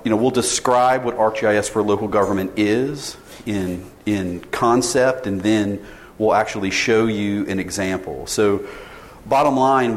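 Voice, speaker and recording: A man in his forties gives a casual conference presentation to an audience, polling them with questions and restarting his sentences often. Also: lowish voice. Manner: informal, polls the audience, many restarts. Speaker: sales director presenting at a conference. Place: conference session room. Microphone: tethered mic. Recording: reverberant hall, faint ambience.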